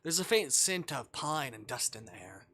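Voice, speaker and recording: A man livestreaming a visual novel, switching between jokey chat and reading the text aloud in a playful, expressive way. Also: medium pitch, moderate pace. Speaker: live streamer playing a visual novel.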